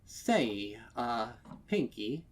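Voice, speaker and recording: A man's voice: mid-range voice, sing-song, drawn-out words, slight background noise. A man voicing a jumpy, kindly adult male pony shopkeeper with a hesitant, stammering manner in a storybook reading. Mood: concerned.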